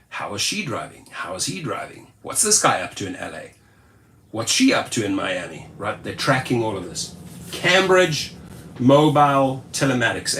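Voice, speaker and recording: A man's voice: mid-range voice, a little hiss or hum. A man from the United States hosting a rideshare channel, giving casual, sarcastic commentary and repeating his points for emphasis. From USA. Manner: animated, sarcastic, repetitive. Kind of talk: casual commentary on screen text.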